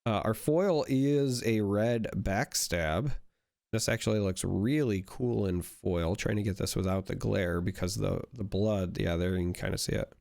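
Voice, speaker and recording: A young man with a fairly low voice talks casually as he opens card packs, rambling and restarting often. His voice still sounds a little strained from a recent illness. He is recorded up close with a dry, dead sound. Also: measured pace, vocal fry, a little mellow, dry recording. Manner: rambling, many fillers and restarts. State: recovering from illness, voice not fully back. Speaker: card game YouTuber opening packs. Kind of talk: casual pack-opening commentary.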